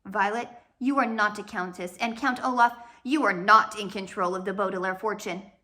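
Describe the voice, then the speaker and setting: Careful, bright-voiced woman judge, read by a narrator. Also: mid-range voice, steady tone, natural voice. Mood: careful, deliberate. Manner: careful, deliberate, earnest. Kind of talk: storybook character voice.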